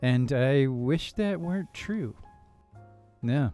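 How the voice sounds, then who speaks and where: A man's voice: medium pitch, expressive, slow, dark tone. A young man co-hosting a casual film podcast, talking in a rambling, jokey way. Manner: laid-back, rambling, jokey. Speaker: podcast co-host. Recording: faint music behind.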